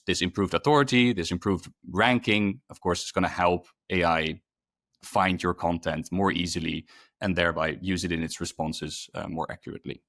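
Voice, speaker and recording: A man with a low voice explains technical material in a webinar. He speaks off the cuff, halting and doubling back on words as he goes. The sound is dry and close. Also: a bit bright, no echo. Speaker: presenter, product specialist.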